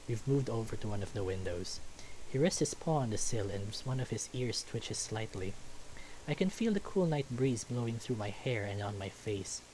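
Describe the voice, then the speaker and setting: A man's voice: on the low side, expressive, moderate pace, a little mellow, a little hiss or hum, low-quality recording. A man reading a visual novel aloud as storytelling narration, now and then stumbling over a word.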